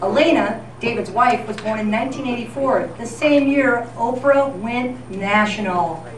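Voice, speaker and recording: A woman's voice: fairly high pitch, measured pace. A woman reading scripted, nostalgic historical narration as a presenter at a community appreciation event. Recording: echoey room, distant mic, clear background noise.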